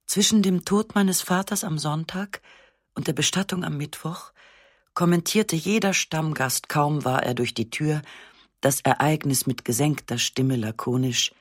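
A woman narrating a literary text in the first person, with a measured, restrained and sober delivery on a close studio microphone. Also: low-pitched, breathy edge, dry recording. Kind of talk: literary reading of a memoir-like novel.

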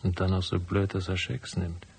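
An actor playing a cynical, world-weary private detective in a German radio drama, with a terse, dry, gravelly delivery. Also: male voice, deep voice, completely flat delivery, normal speed, a little mellow, exaggerated voice. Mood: dry, wary. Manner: terse, dry, matter-of-fact. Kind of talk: German radio drama acting and first-person narration.

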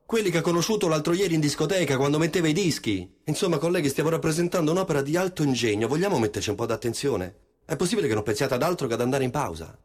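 An actor playing a pompous, easily exasperated chorus leader narrating a radio drama in declamatory style. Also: male voice, on the high side, lively intonation, rapid-fire.

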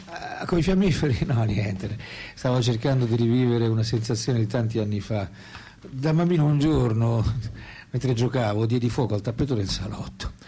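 A man's voice: medium pitch, moderate pace, warm tone, a little hiss or hum, close to an everyday voice. An actor in a radio play voicing a French philosopher and writer, ironic, reflective and self-deprecating, telling his thoughts theatrically. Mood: wry, animated. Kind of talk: radio play dialogue and monologue.

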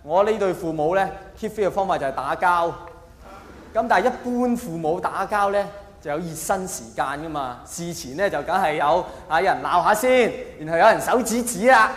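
High-pitched male stand-up comic, echoey hall. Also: lively intonation, normal speed, fairly bright tone. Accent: Hong Kong Cantonese. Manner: colloquial, exaggerated, rhetorical questioning. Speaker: stand-up comedian. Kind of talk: stand-up comedy monologue. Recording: echoey hall, faint background noise.

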